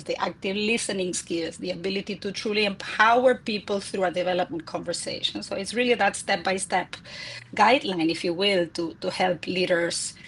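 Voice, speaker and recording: Husky Argentine woman, eager explainer. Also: medium pitch, a bit bright, poor audio. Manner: enthusiastic, repeats words as she restarts. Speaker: guest, executive coach and author. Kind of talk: interview answers.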